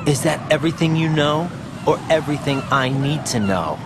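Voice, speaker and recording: A man's voice: fairly high pitch, animated, normal speed, noisy background. An actor playing an earnest, uneasy young soldier in a video game, questioning what he is told. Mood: suspicious. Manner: questioning, incredulous. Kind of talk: video game cutscene voice acting.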